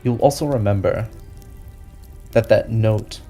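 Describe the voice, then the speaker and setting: A man's voice: fairly low pitch, measured pace, dark tone. A man narrating a tabletop role-playing game as game master, improvising the scene for a player. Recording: music behind the voice.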